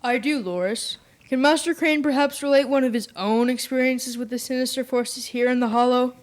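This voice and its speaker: A woman's voice: sits high, normal speed, natural voice. A young female performer playing a boastful, fearless young village rider with a cocky manner in a radio play. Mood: challenging. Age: young teen. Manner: cocky, challenging. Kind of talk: stage radio play acting.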